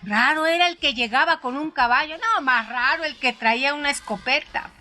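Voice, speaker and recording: A woman reading a first-person story aloud in a measured, expressive way. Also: high voice, soft background music. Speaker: narrator. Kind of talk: scripted audiobook narration.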